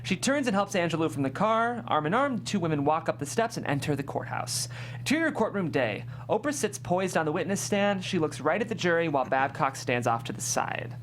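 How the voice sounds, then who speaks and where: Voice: mid-range voice, sing-song, a little fast, slight background noise. A narrator reading screenplay stage directions aloud at a table read, as scripted narration.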